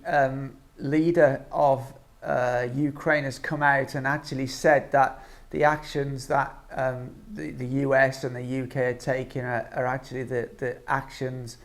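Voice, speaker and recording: A man giving informal opinion commentary as an online vlogger, speaking in a halting, hesitant way with frequent ums. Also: medium pitch, creaky.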